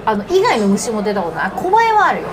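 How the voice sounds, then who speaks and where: Female podcast host, casual and fast, very expressive pitch, recorded in a busy restaurant. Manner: animated storytelling, acts out quoted remarks. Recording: busy restaurant, music and chatter behind. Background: music and crowd chatter.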